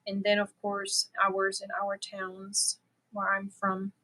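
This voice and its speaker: A woman's voice: medium pitch, monotone, takes it slowly, sharp tone, low-quality recording. A middle-aged woman from Germany, chatting casually with viewers as host of a crochet livestream and laughing easily. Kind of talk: casual livestream chat answering viewer comments.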